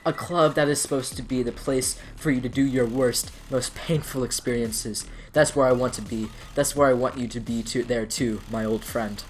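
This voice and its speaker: A man's voice: medium pitch, steady tone, normal speed, hardly any pauses, a little hiss or hum, close to an everyday voice. A man playing a pretentious, proudly unashamed online art poster in a solemnly earnest comedic dramatic reading. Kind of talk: dramatic reading of an internet comment argument.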